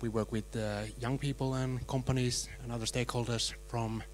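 Young guy on a handheld mic, businesslike, flat-ish, light hum. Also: mid-range voice, moderate pace. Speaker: organization representative. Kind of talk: self-introduction. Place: meeting room.

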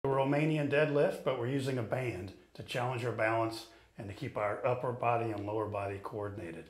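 A middle-aged man coaching ski fitness, explaining each exercise calmly while he demonstrates it in a studio. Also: medium pitch, fairly quick. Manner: patient, explanatory, talks while moving.

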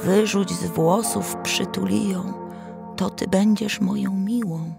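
A woman voicing a sad young male water demon in a folk tale, pleading in a slow, drawn-out way. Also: medium pitch, fairly even intonation, vocal fry, over music, pitched above the performer's own voice. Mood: mournful, pleading.

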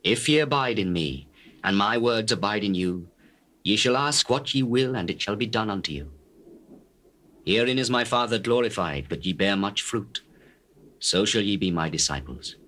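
A grave male teacher speaking to his followers in a dramatized scripture recording. His voice is fairly low, with a bright, forceful tone. The delivery is measured and declamatory, in solemn archaic English. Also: animated. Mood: solemn, instructing. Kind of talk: dramatized King James scripture reading.

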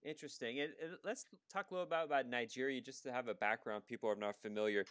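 A man's voice: mid-range voice, fast, fairly bright tone. A man hosting an interview podcast as an immigration attorney, talking casually with many fillers and restarts. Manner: conversational, with frequent fillers and restarts.

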